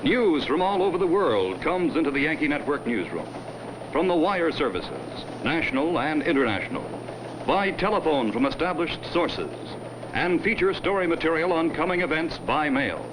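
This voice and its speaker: Higher-pitched male 1950s newsreel-style narrator, noisy soundtrack. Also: big pitch swings, moderate pace. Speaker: promotional film narrator. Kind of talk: 1950s scripted film narration.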